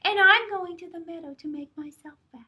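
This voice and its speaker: Tiny timid billy goat, high and small, voiced by a woman. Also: fairly quick, sharp tone. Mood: pleading. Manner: very small pleading voice.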